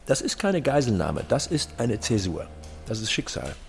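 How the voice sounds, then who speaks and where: An actor in a German audio drama playing an effusive, excitable businessman with big schemes. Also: mid-range voice, moderate pace, vocal fry, faint music behind. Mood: enthusiastic scheming. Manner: effusive, theatrical. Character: excitable, scheming male businessman friend held captive.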